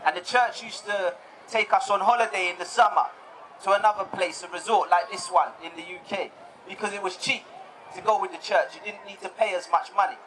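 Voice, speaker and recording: A young man raised in the United Kingdom, giving a relaxed personal testimony talk to an audience, often repeating words as he goes. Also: high voice, a little fast, slight background noise, low-quality recording.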